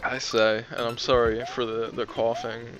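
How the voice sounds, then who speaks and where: A man's voice: medium pitch, flat delivery, moderate pace, music in the background. A young man giving casual, rambling commentary over his gameplay, wry and self-deprecating, his voice strained from talking a long time. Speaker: let's play commentator.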